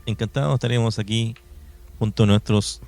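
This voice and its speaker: A man's voice: lowish voice, steady tone, brisk, gravelly, a little mellow. A Chilean man co-hosting a paranormal radio show in a conversational studio style. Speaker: radio co-host. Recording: music bed under studio talk. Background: music.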